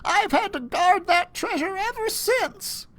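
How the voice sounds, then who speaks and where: A man voicing a high-pitched old pirate woman. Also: animated, measured pace, a bit bright, exaggerated voice. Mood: wistful. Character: old woman, a former pirate once cursed into a snake.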